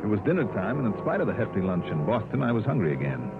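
Probing insurance investigator, lowish voice, brisk and matter-of-fact, vintage radio drama, muffled sound. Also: male voice, very animated, over music. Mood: wry. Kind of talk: 1950s-60s radio drama acting, some first-person narration. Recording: dull, noisy old radio recording.

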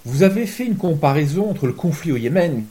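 A man giving deliberate, reflective interview answers as a reporter, often rephrasing himself. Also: fairly high pitch, expressive, dark tone. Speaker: journalist, war reporter being interviewed.

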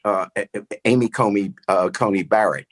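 A man hosting a podcast, delivering a measured, deliberate opinion piece. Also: on the low side. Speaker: podcast host. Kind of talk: casual chat then commentary.

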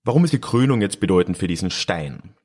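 A man hosts a history podcast on his own, talking in a loose, chatty way. He chuckles now and then and pauses with little fillers. His voice is very deep. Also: animated, darkish tone. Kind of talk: casual solo podcast narration.

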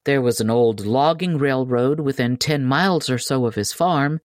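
A woman's voice: rumbling low, moderate pace, darkish tone, no echo, clean studio sound. A woman reading a children's tall tale aloud as scripted storybook narration. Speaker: audiobook narrator.

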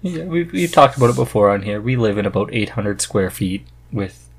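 A man's voice: fairly low pitch, lively intonation, brisk, husky, rough recording. A man hosting a podcast in a casual, conversational chat. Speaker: podcast host.

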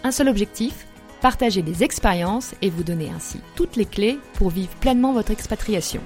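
A woman's voice: medium pitch, lively intonation, moderate pace, a little mellow, soft background music. Woman hosting a podcast. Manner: hesitant, many fillers when interviewing. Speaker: podcast host. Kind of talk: scripted intro then interview.

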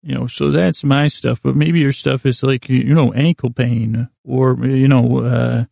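Very creaky, meandering male bedtime podcaster. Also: medium pitch, fairly even intonation, dark tone, no echo, studio quality. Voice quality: creaky, gravelly. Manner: lulling, halting, self-deprecating. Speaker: podcast host. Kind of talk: rambling, meandering bedtime monologue.

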